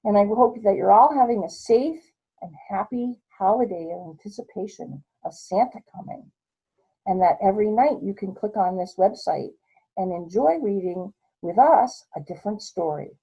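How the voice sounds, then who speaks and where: An American woman hosting a read-aloud storytime for children in an encouraging, expressive manner. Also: medium pitch, moderate pace, vocal fry, husky, lo-fi sound. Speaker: host, nonprofit executive director. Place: office.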